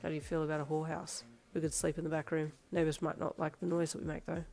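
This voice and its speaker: A young man with a dry, leering deadpan and a joking streak. A woman plays him in an audio story. She pitches him a little lower than her narration. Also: fast talker, vocal fry. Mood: deadpan teasing. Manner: deadpan and teasing.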